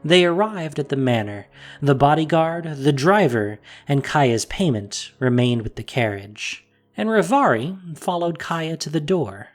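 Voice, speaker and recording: A man reading scripted fantasy story narration with expressive delivery. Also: fairly high pitch, a little slow, soft background music.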